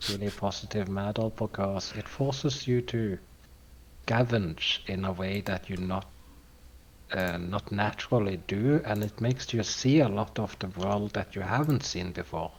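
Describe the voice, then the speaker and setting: A man co-streaming a game, explaining at length in a calm, measured way. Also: lowish voice, breathy edge. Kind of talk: casual chat while gaming.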